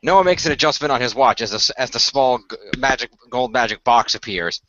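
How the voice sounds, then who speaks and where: Bright-voiced man narrating action scenes. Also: medium pitch, a little fast, highs cut off. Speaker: radio play creator and performer. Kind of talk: improvised narration of an action radio play.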